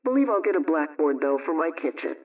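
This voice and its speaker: A woman playing a brisk, friendly 1920s radio homemaking adviser, reading a script in a measured, instructive way. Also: sits low, expressive. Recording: dull old radio recording, distant mic.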